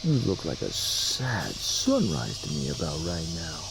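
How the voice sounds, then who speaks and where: A very low, gravelly father pony, weary but affectionate and gently teasing. His delivery swings widely in pitch, as in an audio drama, over clearly audible background noise. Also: wildly swooping pitch, unhurried, full character voice. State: weary, stressed. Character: tired, affectionate father pony, a stallion mechanic.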